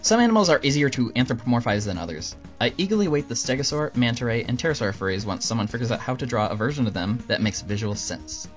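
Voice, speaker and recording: A man reads scripted answers as a show host at a brisk clip. His delivery is dry and deadpan, with music playing underneath. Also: medium pitch. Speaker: host answering viewer questions. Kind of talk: scripted Q&A narration with deadpan jokes.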